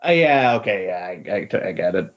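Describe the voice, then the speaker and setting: A man with a fairly low voice chats casually as a podcast host. He talks haltingly, often doubling up his words as he reacts. The sound is somewhat dull and lo-fi. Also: sing-song. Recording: dull, lo-fi voice recording.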